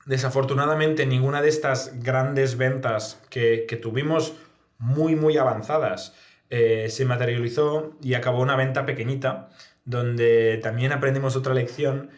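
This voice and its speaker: A man's voice: mid-range voice, fairly even intonation, moderate pace. Spanish man, echoey recording. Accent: Spanish from Spain. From Spain. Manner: reflective, explanatory, often adds tag questions. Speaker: guest, startup founder. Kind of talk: conversational interview answers. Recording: echoey, reverberant room sound.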